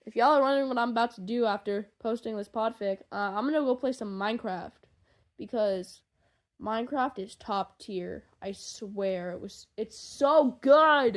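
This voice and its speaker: A young adult woman chatting casually to listeners in a rambling, gushing, excitable and slangy way, as a fan fiction creator. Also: a little high.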